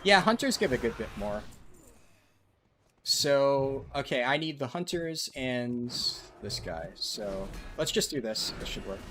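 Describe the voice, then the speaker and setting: A man's voice: medium pitch, big pitch swings, unhurried, fairly bright tone, a little hiss or hum. A man live-streaming a game, chatting with viewers in a casual way with lots of ums and likes. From United States. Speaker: livestreaming gamer.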